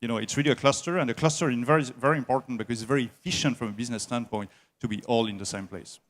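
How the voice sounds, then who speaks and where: A man heading an engineering services company, answering interview questions at a trade show in a slow, halting way with many filler sounds. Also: medium pitch.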